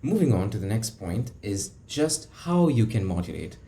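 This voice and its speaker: A man's voice: on the low side, big pitch swings, moderate pace. A young man teaching public speaking in a video lesson, explaining and demonstrating how to vary his tone. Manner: demonstrates tones, shifts loudness for emphasis. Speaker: speaking coach. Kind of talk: instructional video talk.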